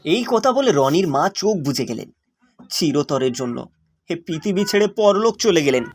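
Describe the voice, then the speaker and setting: A man's voice: on the high side, sing-song, normal speed, dry recording. A man narrating a scripted cartoon story.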